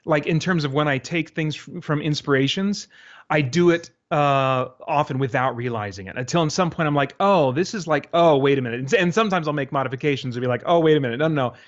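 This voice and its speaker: A man's voice: on the high side, fast. Rambling man in a dull lo-fi recording. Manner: rambling, often acts out his own thoughts as quotes. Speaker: interview guest, creator of a radio drama. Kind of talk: casual interview answers, thinking aloud. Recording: dull, lo-fi interview audio.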